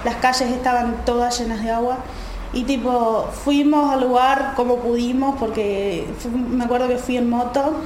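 A young woman with a Uruguayan accent, a student volunteer telling her story in a radio interview, halting with many fillers and restarts. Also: fairly high pitch, steady tone, darkish tone, roomy sound, a little hiss or hum, rough recording. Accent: Uruguayan Spanish. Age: young adult.

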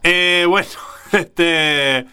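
A man's voice: on the high side, drawn-out words, bright, forceful tone, clear, resonant tone. A man with a Uruguayan accent hosting a radio show in a casual, hesitant, digressive way, often correcting himself. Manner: hesitant, rambling, self-correcting. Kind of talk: casual, digressive co-host banter.

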